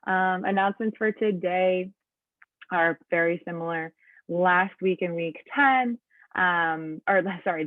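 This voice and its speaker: A woman's voice: on the low side, dry recording. A woman in her late twenties with a Californian accent, teaching an online class in a chatty, upbeat way, full of ums and likes. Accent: Californian English. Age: late 20s. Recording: thin phone-like audio over a video call.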